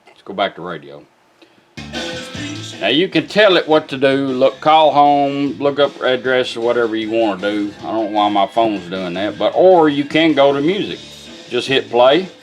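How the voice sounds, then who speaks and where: A man's voice: medium pitch, lively intonation, normal speed, soft background music. A man giving a casual, informal hands-on product demonstration in a folksy way. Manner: folksy, informal, talks to the gadget.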